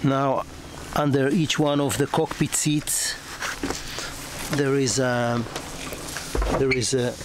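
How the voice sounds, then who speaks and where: A man giving a slow, hesitant walkthrough of a sailboat, pausing often and restarting his sentences as he films. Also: mid-range voice, fairly even intonation. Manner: slow, halting, with many fillers and restarts. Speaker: yacht broker. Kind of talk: boat walkthrough narration. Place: on a moored sailboat in a marina, outdoors and below deck. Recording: noisy outdoor camera audio.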